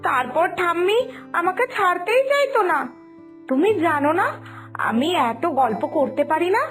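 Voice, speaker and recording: A woman playing a selfish, evasive young granddaughter in an animated moral story, whiny, impatient and complaining. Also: very high voice, normal speed, soft background music, exaggerated voice. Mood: defiant. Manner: fussy, complaining.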